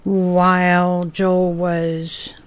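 An American woman, middle-aged or older, chats casually and takes her time. Her voice is smooth and clear. The recording is close and dry. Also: fairly low pitch, monotone, very slow, no echo. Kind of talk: casual chat.

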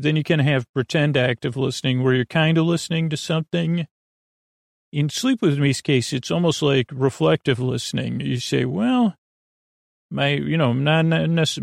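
A man with a creaky voice hosting a sleep podcast, rambling in a lulling, soothing way with halting tangents and word fragments. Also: mid-range voice, no echo, studio quality. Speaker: podcast host, bedtime storyteller. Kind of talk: meandering bedtime monologue for a sleep podcast.

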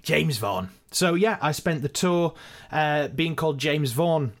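A man's voice: a little high, unhurried. A man presenting a casual, self-deprecating behind-the-scenes look with anecdotes. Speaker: actor, editor and presenter.

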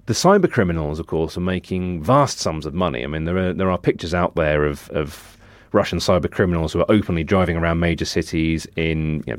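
A British man with a deep voice talks as a reporter on a news podcast. His analysis is discursive and full of qualifying asides. The sound is dry, with very little room in it. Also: no room sound. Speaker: technology reporter.

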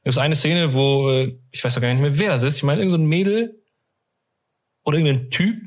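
A man's voice: a little high, quick pace. A man co-hosting a casual fan podcast, telling stories in a chatty, rambling, slangy way. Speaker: podcast co-host.

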